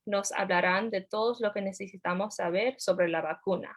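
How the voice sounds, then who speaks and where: A woman hosts an online info session and introduces speakers. Her pitch sits in the middle and she speaks in a plain, announcing way. She switches easily between two languages. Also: fairly even intonation, fast talker. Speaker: event host. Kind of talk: bilingual event moderation.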